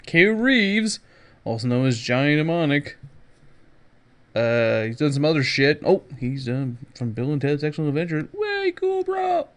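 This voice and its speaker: A male podcast host with a somewhat high-pitched voice delivers casual news commentary. He is sarcastic and jokey, and leans on words with theatrical emphasis.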